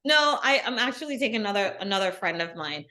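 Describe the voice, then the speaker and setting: A middle-aged woman, a guest on an interview podcast, telling her story in an earnest, animated way. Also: medium pitch, fast talker. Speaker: guest, bikini athlete. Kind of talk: casual interview.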